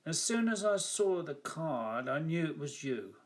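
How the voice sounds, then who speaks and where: A man voicing an excitable, awestruck shopkeeper in a read-aloud story, insistent and pleading, stammering and repeating himself. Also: on the high side, a little slow.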